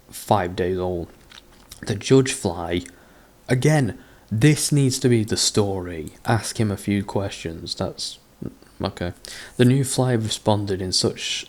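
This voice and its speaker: A man's voice: low-pitched, darkish tone. A man doing a sarcastic reading of a book, breaking off into sweary, exasperated mockery. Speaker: comedic book reader. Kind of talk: sarcastic dramatic reading with commentary.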